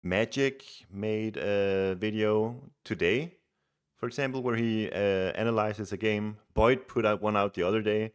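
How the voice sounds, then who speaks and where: Low-voiced Danish man chatting on stream. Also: a little slow, smooth. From Denmark. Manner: relaxed, chatty, restarts words often. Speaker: streamer and game coach. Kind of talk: casual live-stream chat and game commentary.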